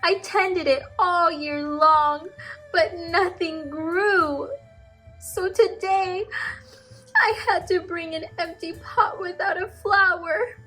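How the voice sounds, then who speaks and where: Woman playing small ashamed boy, high-pitched, whimpering, drawn-out words, tearful storybook character. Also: fairly even intonation, bright, forceful tone, soft background music, heavily acted. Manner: drawn-out, sobbing delivery. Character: tearful, ashamed, honest little boy gardener.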